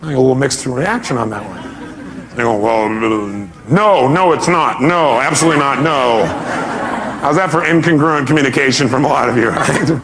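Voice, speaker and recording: A man's voice: medium pitch, normal speed, background noise. A man speaking as a motivational seminar speaker, emphatic, putting rhetorical questions to his audience and often answering them himself.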